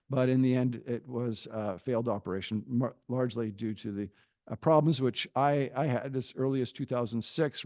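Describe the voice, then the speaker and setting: A middle-aged man, a professor, giving a prepared argument at a panel in a measured way, pausing often with fillers. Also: medium pitch, dark tone, dry recording, studio quality. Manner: measured, pauses with frequent fillers.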